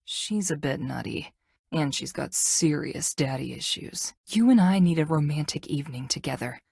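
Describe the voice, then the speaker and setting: Low, husky, brooding tough game heroine. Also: female voice, no echo, heavily acted. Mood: playfully bossy. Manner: dry, deadpan, halting when flirting. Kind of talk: video game character dialogue.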